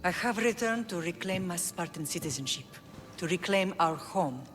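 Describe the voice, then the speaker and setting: A woman's voice: fairly low pitch, lively intonation, moderate pace, soft background music, natural voice. Dignified Spartan mother played by a woman. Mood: resolute. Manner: grave, composed. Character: dignified, determined Spartan mother, proud and watchful. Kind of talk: video game cutscene acting.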